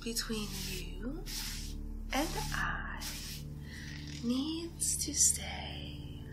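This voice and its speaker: A woman acts out a playful young villainess, lonely and possessive, in an ASMR roleplay. Her voice sits fairly high and moves extremely slowly, dipping from coy murmurs into breathy whispers. Music plays clearly behind her. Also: big pitch swings, exaggerated voice, low-quality recording. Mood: coaxing, possessive. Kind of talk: ASMR roleplay, soft talk and whispering to the listener.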